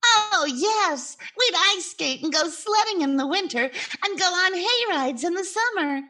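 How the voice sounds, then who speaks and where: Lady doing cheery naive gal from old radio comedy, piercing little high voice, unhurried. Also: lively intonation, bright, forceful tone, full character voice. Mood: chirpy, naive. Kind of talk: 1940s radio comedy acting.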